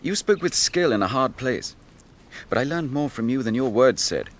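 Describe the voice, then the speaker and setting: An actor playing a grave, noble young captain grieving his brother, in measured, archaic speech for a fantasy radio drama. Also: mid-range voice, lively intonation, lightly acted. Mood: grave, probing.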